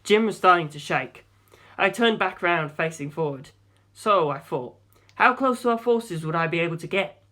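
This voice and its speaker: A man's voice: sits high, lively intonation, normal speed, pushed and bright. A man reading a first-person story aloud as an audiobook narrator.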